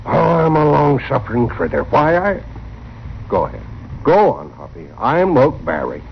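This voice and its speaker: A long-suffering cowboy sidekick from an old radio western. He grumbles and stammers in an American Western drawl, his pitch bouncing up and down. The sound is dull and noisy, like an aged broadcast. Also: very animated, normal speed, creaky. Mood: exasperated.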